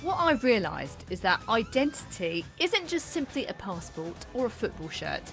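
A woman's voice: medium pitch, big pitch swings, normal speed, vocal fry, fairly bright tone, soft background music. A woman reporter from London narrating a personal, reflective scripted report.

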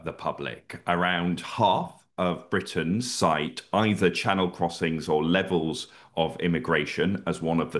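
Deep-voiced male pollster, lively pitch. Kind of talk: analytical commentary.

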